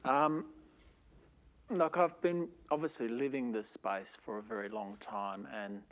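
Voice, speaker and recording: A man with a soft voice speaking as a panelist, hesitant and full of ums. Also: medium pitch, fairly even intonation, a little slow. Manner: hesitant, many fillers. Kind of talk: panel discussion.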